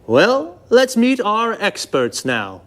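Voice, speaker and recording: Friendly man presenting at a slow pace. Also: high voice, huge pitch swings, a bit bright. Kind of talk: scripted language-lesson presenting.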